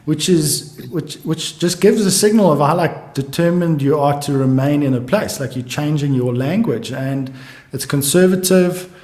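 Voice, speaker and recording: A man, a guest economist, musing aloud in halting restarts during a casual podcast conversation. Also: fairly high pitch, a little mellow, echoey room. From South Africa.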